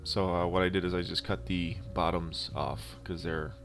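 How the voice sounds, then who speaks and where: A man narrating a DIY prop-building tutorial in a casual, unscripted and halting way. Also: sits low, little pitch movement. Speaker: DIY prop-making video host. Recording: instrumental music behind the voice. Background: music.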